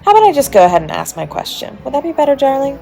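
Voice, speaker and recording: A woman's voice: high voice, very animated, very fast, gravelly, dark tone, heavily acted. A woman playing a charming, flirtatious stranger at a party, chatty, coy and teasing, in an intimate romantic audio roleplay. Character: charming, playfully flirtatious adult party guest, smooth-talking stranger. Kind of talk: romantic audio roleplay, intimate one-sided dialogue to the listener. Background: music behind the voice.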